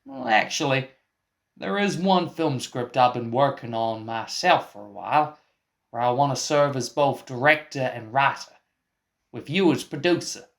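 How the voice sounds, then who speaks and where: A man's voice: mid-range voice, animated, moderate pace, gravelly. A man playing an eccentric, evasive film collaborator in a comic radio play, insistent and theatrically cryptic. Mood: self-important. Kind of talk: scripted comic mock interview.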